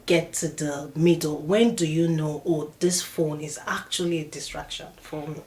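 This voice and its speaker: A woman's voice: low-pitched, fairly even intonation, moderate pace, a little mellow. The woman in middle age you would hear at the head of a podcast table, opening the show and steering the panel. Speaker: podcast host and moderator. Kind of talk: hosting a panel conversation.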